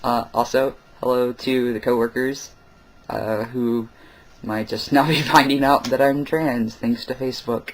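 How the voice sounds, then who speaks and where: A man's voice: medium pitch, fairly even intonation, a little slow. A young man talking candidly in a personal vlog, late at night at home, rambling with lots of fillers and wry asides. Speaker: vlogger.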